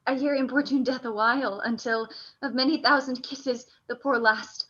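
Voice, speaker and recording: A woman's voice: high voice, steady tone, normal speed, bright, forceful tone, highs cut off. A woman playing a dying, noble warrior lord in a Shakespearean radio play. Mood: dying, tender.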